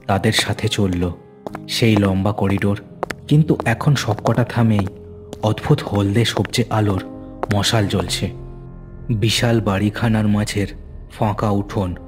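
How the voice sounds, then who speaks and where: A male narrator tells a horror tale in a fairly low voice. His phrasing is deliberate and suspenseful, in a dramatic storytelling style, with music underneath. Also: a little mellow.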